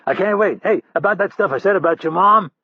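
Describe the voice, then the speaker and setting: A man's voice: on the high side, very animated, fairly quick, vocal fry, breathy edge, no echo, muffled recording, pitched above the performer's own voice, full character voice. A man voicing a foul-mouthed, defiant American prisoner who mocks his captors, in an audiobook. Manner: sarcastic, loud, cursing.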